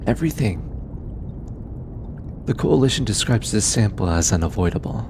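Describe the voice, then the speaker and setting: Audio roleplay: anxious alien researcher, male actor, on the low side, slow and halting, earnest and self-critical, over clear background noise. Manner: hesitant, halting, stammering, sighing. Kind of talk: scripted audio roleplay, intimate one-sided dialogue.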